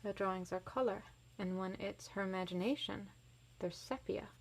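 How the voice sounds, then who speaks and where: A woman's voice: medium pitch, moderate pace, gravelly, slight background noise. A woman reading a picture book aloud expressively to children, with casual explanatory asides. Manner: animated, varies voice for characters.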